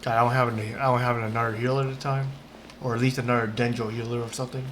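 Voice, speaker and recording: A man's voice: medium pitch, level tone, rapid-fire, a little hiss or hum. A man giving casual, rambling gameplay commentary as a streamer, hesitating and repeating his words.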